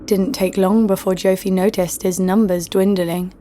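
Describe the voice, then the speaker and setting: A woman's voice: medium pitch, little pitch movement, normal speed, mellow, slight background noise, natural voice. An actress playing a tough, wry female adventurer who narrates her own story in a scripted audio drama. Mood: wry, tense. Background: music.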